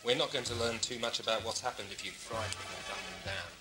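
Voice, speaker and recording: A man acting a brisk, exasperated ship's officer giving orders in an amateur audio drama. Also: fairly low pitch, lightly acted. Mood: exasperated, commanding. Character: brisk, exasperated male officer in charge of prison ship. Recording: loud music over dialogue, home-made cassette drama.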